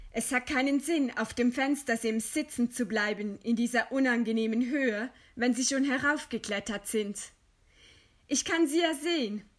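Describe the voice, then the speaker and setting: A woman playing a composed, resigned writer in a radio play, speaking calmly and with formal courtesy. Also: on the high side. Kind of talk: read radio play dialogue.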